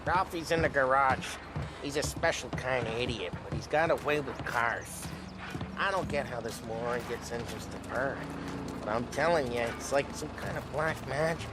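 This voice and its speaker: A man's voice: medium pitch, normal speed, very noisy, natural voice. A man playing a brash, streetwise mobster with a New York Italian-American accent, teasing, in a video game cutscene. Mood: confiding. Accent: New York-style Italian-American.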